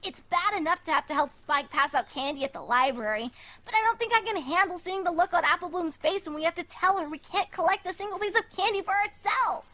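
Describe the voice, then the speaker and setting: A woman voicing young cartoon fillies in a fan fiction reading, squeaky and childlike, with an earnest, plucky delivery. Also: brisk, bright, forceful tone. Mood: exasperated.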